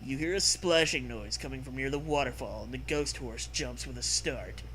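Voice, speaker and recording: A man's voice: medium pitch, normal speed, fairly bright tone, slight background noise. A man co-hosting and reading a comic gamebook aloud, mixing narration with casual, irreverent banter. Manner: playful, irreverent.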